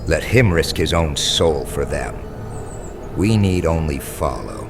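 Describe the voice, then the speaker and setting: A man voicing a stern, terse medieval troop leader with a gravelly voice in an audiobook. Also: extremely low pitch, animated, slow pace, pitched below the performer's own voice, heavily acted. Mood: stern, contemptuous. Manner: terse, commanding. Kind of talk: audiobook character dialogue. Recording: music under the voice.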